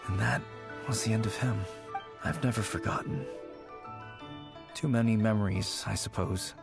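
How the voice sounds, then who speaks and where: An anxious young video game character, grieving and haunted. He speaks very slowly and hesitantly in a mid-pitched voice, with prominent music behind him. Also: lively intonation. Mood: mournful, uneasy. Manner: halting, hesitant, brooding. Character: anxious, mournful young man haunted by a childhood friend.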